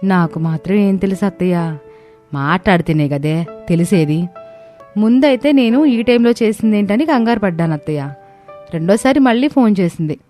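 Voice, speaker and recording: A woman's voice: medium pitch, animated, normal speed, mellow, faint music behind, lightly acted. A woman voicing an earnest, respectful young daughter-in-law who pleads and explains in a family moral story. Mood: explaining. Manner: respectful, persuasive. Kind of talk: dramatised moral story dialogue.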